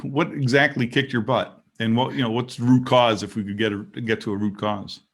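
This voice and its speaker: A man's voice: mid-range voice, steady tone, brisk. A man hosting an interview, asking questions in a conversational way. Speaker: host. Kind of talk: casual interview.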